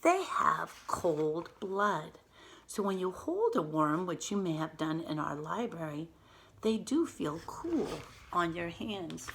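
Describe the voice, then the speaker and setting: A woman teacher reading a picture book aloud to young children in an expressive way, pausing to explain things simply with emphasized words. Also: sits low, slight background noise.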